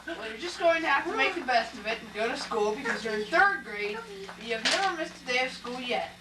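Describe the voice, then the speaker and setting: A woman's voice: sits high, lively intonation, brisk. A woman playing a strict, matter-of-fact father in an amateur puppet show. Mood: firm. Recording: echoey, distant, noisy live recording.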